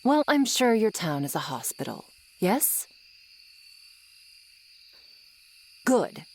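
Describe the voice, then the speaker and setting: A woman's voice: medium pitch, big pitch swings, slight background noise. A woman playing an ageless tsundere swamp monster girl, sardonic and grudgingly kind, in an ASMR roleplay. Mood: exasperated, scolding. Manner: slow, sardonic, haughty but softening. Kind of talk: ASMR roleplay acting, addressed to the listener. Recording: night swamp ambience with insects behind. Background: crickets and insect ambience.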